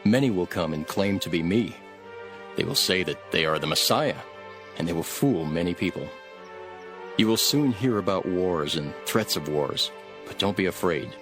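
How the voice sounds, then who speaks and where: A man playing a solemn, earnest prophetic teacher in a dramatized audio Bible, speaking in a measured, dramatic way. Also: low-pitched, lively intonation. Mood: grave warning. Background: music.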